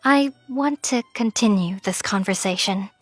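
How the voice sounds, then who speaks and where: A woman's voice: medium pitch, measured pace, no echo, faint music behind, lightly acted. A woman playing a composed, loyal young female employee in a manga story dub, polite, matter-of-fact and encouraging. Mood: sincere.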